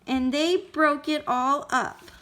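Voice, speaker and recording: A woman's voice: sits high, slow pace, bright, forceful tone, slight background noise, voice pushed up, full character voice. A woman voicing a wee, small male bear cub from a storybook, upset and crying out plaintively.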